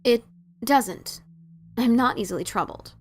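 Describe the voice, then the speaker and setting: A woman's voice: a little high, measured pace, breathy edge. A woman voicing a brash, skeptical pony adventurer, blunt and informal, in a fan fiction dramatic reading. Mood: hesitant, curious.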